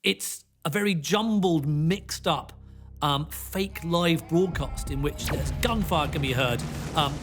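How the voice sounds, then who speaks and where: A man's voice: fairly high pitch, slow pace, soft background music. A man giving informal documentary interview commentary, talking conversationally. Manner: informal, frequent "you know".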